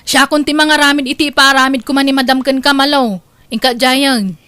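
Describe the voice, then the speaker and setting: Woman acting bossy high-voiced housemaid in comedy drama. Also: fairly even intonation, fairly quick, sharp tone, no room sound. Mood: grumbling. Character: bossy, scheming housemaid, quick to grumble. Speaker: voice actress.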